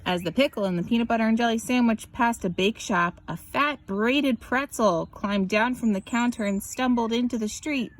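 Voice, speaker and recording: A woman reads a picture book aloud as scripted narration, animated and drawing out words for emphasis. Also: fairly high pitch, normal speed, hardly any pauses. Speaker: children's story reader.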